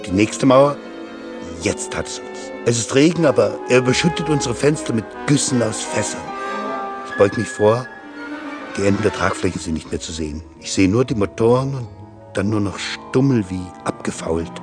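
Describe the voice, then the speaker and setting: A man reads literary prose dramatically in a fairly low voice. Music plays quietly behind him. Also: animated, moderate pace. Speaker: reader of literary texts.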